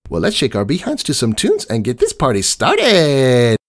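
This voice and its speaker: A man's voice: medium pitch, wildly swooping pitch, pitched above the performer's own voice. A man voicing an emotional, bubbly young female pony who gushes her words in a story reading. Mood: bubbly, excited. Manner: gushing, exclamatory, rapid wordy chatter.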